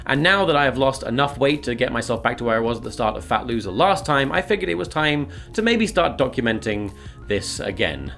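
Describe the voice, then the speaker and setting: A man's voice: medium pitch, expressive, a little fast, creaky, fairly bright tone, faint music behind. A man talking to his audience in a confessional, partly scripted vlog, with a self-deprecating way of speaking. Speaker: video creator documenting his weight loss.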